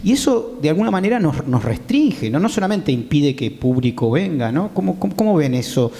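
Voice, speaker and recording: A mellow-voiced man with a Rioplatense Argentine accent hosts a panel in a reverberant hall. He builds long, probing questions and keeps checking in with short tag questions. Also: a little high, very animated, a little fast, vocal fry. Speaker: host and moderator. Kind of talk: discussion moderating. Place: theatre, speaking on stage.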